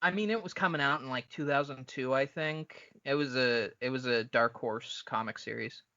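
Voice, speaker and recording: Male podcast co-host, forceful bright voice, opinionated and sweary fan talk, slightly muffled lo-fi recording. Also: mid-range voice, moderate pace. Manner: opinionated, blunt. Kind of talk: casual, profane fan discussion.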